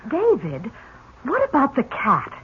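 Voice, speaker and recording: An actress playing a curious, persuasive wife in an old radio drama, on a tinny, noisy recording. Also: female voice, sits high, huge pitch swings, a little slow, heavily acted. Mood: intrigued. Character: curious, persuasive young wife, gently pressing her husband.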